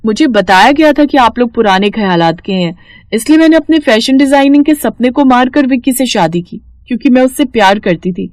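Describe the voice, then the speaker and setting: A woman's voice: on the high side, animated, fairly quick, darkish tone, dry recording, lightly acted. A woman voicing a kind, principled young sister-in-law in a cartoon story, earnest and reassuring. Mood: pleading, firm. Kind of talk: animated storybook cartoon dialogue.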